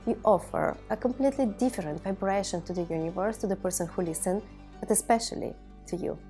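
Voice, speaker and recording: A woman in her thirties from Bulgaria, a native Bulgarian speaker, giving a reflective personal monologue to viewers in measured, deliberate phrases. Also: medium pitch, mellow, soft background music. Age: 30s. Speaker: video blogger.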